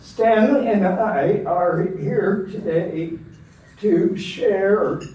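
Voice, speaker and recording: A man interviewing a guest at a church gathering, slowly introducing people to the audience in a large meeting room. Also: high voice, mellow, muffled recording. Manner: slow, deliberate speaker addressing a room. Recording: echoey room from far off, faint noise.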